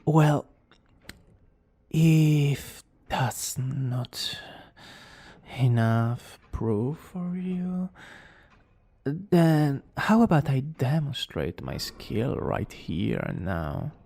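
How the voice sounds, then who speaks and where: Man acting a cocky, creaky-voiced young villain boyfriend. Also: mid-range voice, sing-song, drawn-out words, dark tone, heavily acted. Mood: bragging. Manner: smug, theatrical, teasing. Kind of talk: romantic ASMR roleplay acting.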